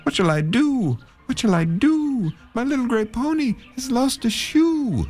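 A man's voice: wildly swooping pitch, a little slow, darkish tone, faint music behind, full character voice. A man reading a children's story, voicing a worried traveler who pleads in a raised, anxious voice. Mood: fretful pleading. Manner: high, anxious, repeating pleas. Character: fretful man who lost his pony's shoe.

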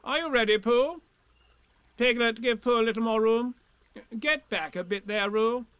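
A man's voice: very high-pitched, normal speed, a bit bright, clear, resonant tone, dry recording, pitched above the performer's own voice. A man voicing a bossy male rabbit in a storybook, giving clipped, commanding instructions.

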